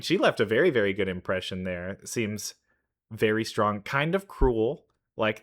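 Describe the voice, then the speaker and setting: Low, bright-voiced man reacting casually. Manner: informal, thinks aloud in loose trailing sentences. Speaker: reaction video host. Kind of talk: casual reaction commentary on game trailers. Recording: music playing behind the voice. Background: game trailer music.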